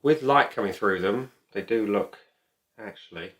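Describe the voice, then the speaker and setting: A man's voice: on the low side, measured pace, a bit bright. A man talking casually to camera as a beer reviewer, chatty, opinionated and emphatic. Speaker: beer reviewer and video host.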